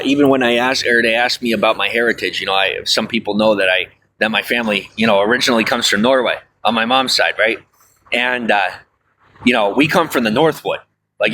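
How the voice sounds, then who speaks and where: A man hosting a live stream, rambling through viewer comments with a chatty, folksy manner full of fillers and side remarks. His voice is bright and forceful, with a touch of faint background noise behind it. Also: medium pitch. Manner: folksy, often says "I'll tell you what". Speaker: livestreamer. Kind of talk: casual livestream chat reading viewer comments.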